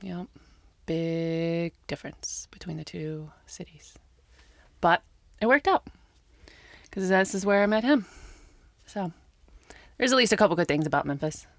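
A woman's voice: lowish voice, expressive, measured pace, pauses often, no room sound. An American woman chatting casually as an interview guest. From Texas and Tennessee, United States. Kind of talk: casual chat.

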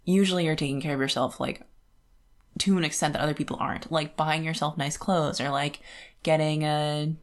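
A woman with a fairly low voice speaks as a podcast co-host. She talks casually and with opinions, in a loose conversational style full of filler "like". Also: fairly even intonation, brisk. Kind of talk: casual book discussion.

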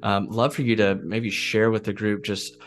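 Low-voiced man hosting a podcast. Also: flat delivery, normal speed, slight background noise. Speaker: podcast host. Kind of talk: casual conversational interviewing.

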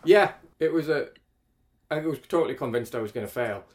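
A man's voice: mid-range voice, lively intonation, a little fast. A man, talking casually as an interview guest in a rambling, self-correcting way. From northeast England. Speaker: climate researcher guest.

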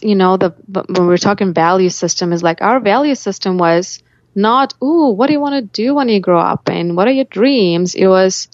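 A woman's voice: medium pitch, dry recording, dull audio. A woman with a Russian accent telling reflective personal stories as an interview guest, often voicing her own thoughts aloud. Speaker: life coach, podcast guest.

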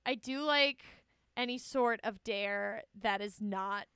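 A woman with a bright, clear voice recorded close and dry. She hosts a podcast with casual, slangy banter, animated and teasingly sarcastic. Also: mid-range voice, slow pace, dry recording. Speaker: podcast host.

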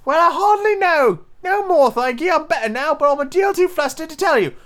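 Squeaky, flustered little animal. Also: male voice, lively intonation, brisk, fairly bright tone. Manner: flustered, plaintive. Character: small feeble squeaky flustered animal, male.

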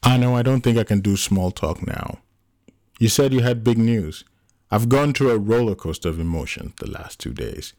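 A man's voice: mid-range voice, normal speed, a little mellow, dry recording, studio quality, close to an everyday voice. Man acting out an anguished, explosive grieving man, audiobook drama. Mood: tense, impatient. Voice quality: gruff when dejected. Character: anguished, volatile man in grief, quick to rage.

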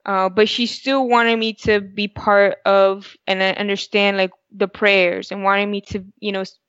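A woman's voice: medium pitch, little pitch movement, a little slow, a bit bright, smooth, no room sound. Woman, Spanish-native speaker, podcast co-host, reflective with restarts, dry muffled sound. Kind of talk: casual podcast conversation. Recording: dull, dry podcast audio.

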